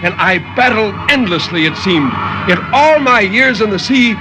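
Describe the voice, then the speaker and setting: A man's voice: high voice, lively intonation, moderate pace, over music. An actor playing a stern sea captain who recounts his ordeal in formal, theatrical 1950s radio horror narration. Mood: urgent. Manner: theatrical, intense, formal diction. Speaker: storyteller. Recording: dull, lightly noisy 1950s radio recording.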